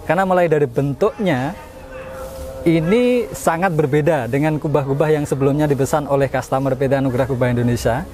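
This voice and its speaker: A man's voice: fairly high pitch, fairly quick, a little mellow, a little hiss or hum. Man conducting a casual interview. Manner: echoes and confirms the guest's answers.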